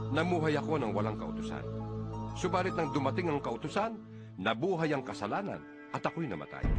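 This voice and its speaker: A man with a Filipino accent giving a dramatized scripture reading in a solemn, emphatic and deliberate manner as an audio Bible narrator. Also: medium pitch. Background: background music.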